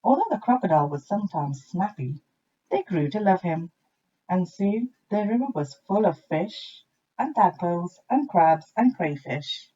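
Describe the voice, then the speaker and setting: A woman reading a children's storybook aloud with expressive narration, like a teacher to young listeners. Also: on the low side, measured pace, slightly rough, dull audio.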